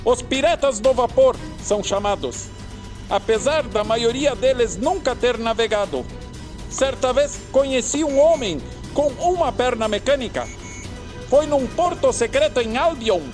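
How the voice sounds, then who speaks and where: An actress in an audio drama playing a curious, blunt companion with a direct, teasing, inquisitive way of talking. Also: female voice, fairly high pitch, animated, a little slow, music in the background. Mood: musing.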